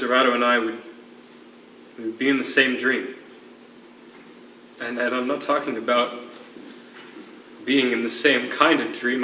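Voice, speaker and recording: A man acting an anguished, defiant imprisoned writer who grieves his wife, speaking in an earnest, halting, poetic way in a stage play. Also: mid-range voice, sharp tone, slight background noise, close to an everyday voice. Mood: wistful, reverent. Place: theatre stage. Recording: echoey stage recording from far off.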